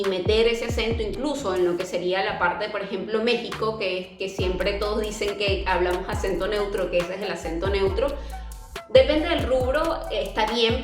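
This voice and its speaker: Venezuelan woman vlogging in an echoey room. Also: mid-range voice. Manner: earnest, with frequent fillers and restarts. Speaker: YouTuber. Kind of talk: casual vlog talk to camera. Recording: echoey room with music behind.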